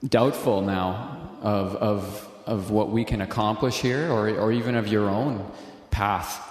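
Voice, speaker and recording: A man hosting a live religious call-in show, speaking in a halting, hesitant, earnest way. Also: lowish voice, fairly even intonation. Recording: echoey, reverberant room.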